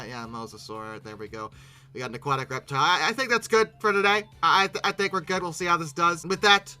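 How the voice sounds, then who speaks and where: A YouTube host giving casual, humorous reaction commentary in a halting, bemused way, often repeating words. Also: mid-range voice, pushed and bright.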